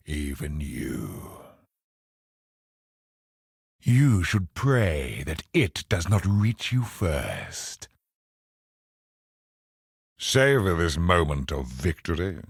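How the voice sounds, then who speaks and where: An actor playing an ancient, imperious male cosmic villain in a video game, older and husky, with portentous, theatrical delivery. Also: low-pitched, no room sound, studio quality, full character voice. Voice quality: gravelly, husky. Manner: slow, portentous, theatrical pronouncements.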